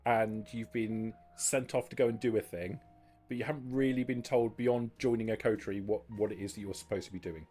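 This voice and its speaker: A man's voice: fairly low pitch, fairly even intonation, fairly quick, mellow, soft background music. A man talking casually during a tabletop roleplaying game, explaining in a conversational way.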